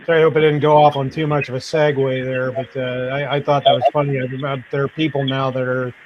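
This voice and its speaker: A man's voice: mid-range voice, flat delivery, fast talker, highs cut off, slight background noise. A man hosting a podcast in casual chat, rambling through stories with frequent laughs and repeated starts.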